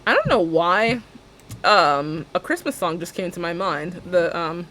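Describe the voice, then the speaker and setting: A young woman with a deep alto voice, chatting casually and rambling as she streams a building game, laughing often and talking to her viewers. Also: animated, unhurried, fairly bright tone, a little hiss or hum.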